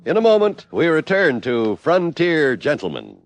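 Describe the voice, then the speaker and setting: A man's voice: fairly high pitch, huge pitch swings, moderate pace, no room sound, soft background music. A man with an American accent announcing in the dramatic promotional style of 1950s radio.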